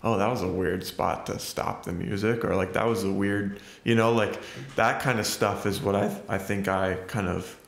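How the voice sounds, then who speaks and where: A man's voice: lowish voice, steady tone, moderate pace, gravelly, hardly any pauses, reverberant. A man co-hosting a casual movie review podcast, rambling with lots of fillers. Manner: rambling, many fillers like "like" and "you know". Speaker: podcast co-host.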